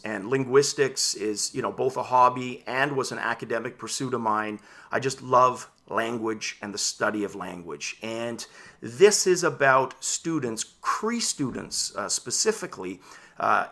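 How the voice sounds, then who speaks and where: A man in his thirties whose first language is Dutch, casually presenting VR news and his own opinions to camera from a home room full of game shelves, gesturing as he talks. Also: mid-range voice. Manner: animated, gestures while talking. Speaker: VR news vlogger.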